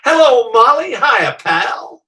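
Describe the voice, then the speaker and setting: A man's voice: very high voice, sing-song, slow pace, bright, forceful tone, slight background noise, full character voice. A man playing a chummy, earnest salesman in old-time radio comedy style, full of eager sales patter. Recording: distant from the microphone.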